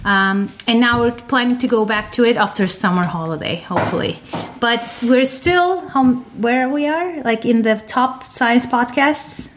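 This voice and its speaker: A woman's voice: on the high side, a little hiss or hum. A woman from Turkey, a science podcaster answering interview questions with many fillers. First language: Turkish. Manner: many fillers, talks in quick bursts.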